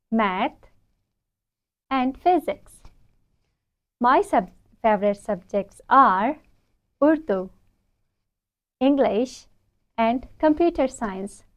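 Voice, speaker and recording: Woman, English teacher, higher-pitched voice, very slow deliberate phrasing, repeat-after-me lesson style, slightly dull recording. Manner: deliberate, phrase-by-phrase instructional delivery. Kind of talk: spoken English lesson with model sentences to repeat.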